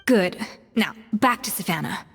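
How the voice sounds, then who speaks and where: A woman voicing a brisk, exacting, self-assured female chef in a video game, blunt and commanding. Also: medium pitch, lively intonation, a bit bright, in a large hall, soft background music. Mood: brisk, stern.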